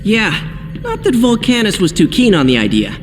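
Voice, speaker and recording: A cocky teen boy hero from a game cutscene. His voice sits high and youthful, casual and wry, with music blaring underneath. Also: wildly swooping pitch, moderate pace. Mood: matter-of-fact. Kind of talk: video game cutscene acting. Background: loud music under the voice.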